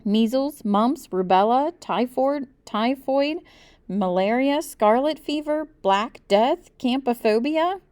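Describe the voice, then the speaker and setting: Storybook worrier: fretful small boy played by a woman, raised pitch, big swings. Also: animated, a little mellow, no room sound. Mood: pleading. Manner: fretful, rapid what-if questioning. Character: anxious, worrying young boy with big fears. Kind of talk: children's storybook read-aloud.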